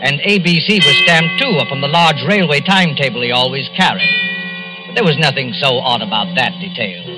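A man playing an ominous narrator in formal, measured 1940s radio style. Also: medium pitch, animated, over music, close to an everyday voice, poor audio. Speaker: announcer and narrator.

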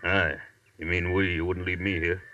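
An actor playing a weary, gloomy convict stranded in the jungle, mournful and doubtful, in a 1940s radio drama. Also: male voice, low-pitched, level tone, moderate pace, pushed and bright, distant mic. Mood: sour, doubtful. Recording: muffled, hissy old radio recording.

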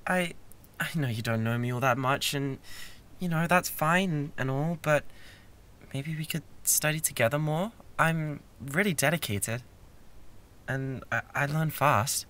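A man with a British accent acting a bratty, obsessive young classmate in an ASMR roleplay, stammering and intense, shifting between soft pleading and outbursts. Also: on the high side, a little slow. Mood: pleading, insistent. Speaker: audio roleplay performer.

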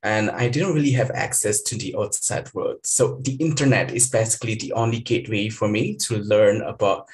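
A man's voice: lowish voice, slight background noise. Earnest young Indonesian man on a video call. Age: 20s. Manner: earnest, personal, measured with frequent ums. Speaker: panelist, researcher. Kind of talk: speaking on an online panel. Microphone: webcam on a video call.

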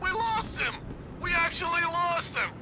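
High-pitched, agitated henchman. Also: animated, measured pace, background noise. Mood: nervous, snappy. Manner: rough street talk, agitated. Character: panicky, bickering male henchman driving getaway vehicle. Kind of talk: video game enemy chatter.

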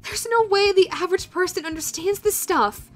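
A woman playing an awkward, self-deprecating young meme lover flustered by love, speaking haltingly and nervously in a romantic comedy audio roleplay. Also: very high-pitched, fairly bright tone, soft background music. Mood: agitated, despairing.

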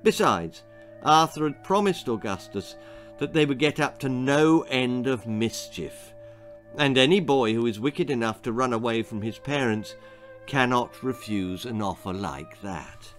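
Man in his sixties, children's story narration, theatrical, lively melodic delivery, mid-pitched. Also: unhurried, soft background music. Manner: expressive, theatrical. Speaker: author reading his own story. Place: small book-lined room indoors.

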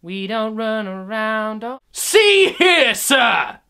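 A man doing a stuffy royal tutor in a comedy sketch, pompous and indignant, who drags out his words slowly and swings his voice up and down. The tone is bright and a little pinched. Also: high voice, expressive, heavily acted. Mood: stern scolding. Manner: indignant, scolding, shouts in outbursts.

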